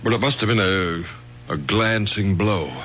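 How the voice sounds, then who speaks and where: A man's voice: on the low side, measured pace, fairly bright tone. An actor playing a stern middle-aged father, a college professor who takes charge in firm, clipped lines, in a vintage radio drama. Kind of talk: 1970s radio drama acting. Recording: dull vintage radio recording with faint hum.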